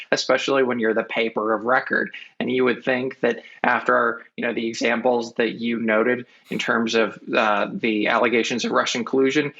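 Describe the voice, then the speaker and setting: American male correspondent, bright forceful voice, measured earnest commentary, muffled lo-fi recording. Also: mid-range voice. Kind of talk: conversational political commentary. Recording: dull, lo-fi audio.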